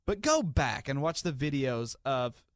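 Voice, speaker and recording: A man's voice: medium pitch, very animated, a little slow, smooth, no room sound, studio quality. A man with an American accent talking on air as a radio host in a polished, conversational way.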